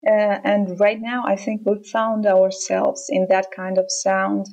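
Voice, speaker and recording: A woman with a Russian accent, answering interview questions in a measured way, pausing often with ums. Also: medium pitch, monotone, a little mellow, highs cut off. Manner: measured, hesitant with frequent ums. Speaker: band member interviewed.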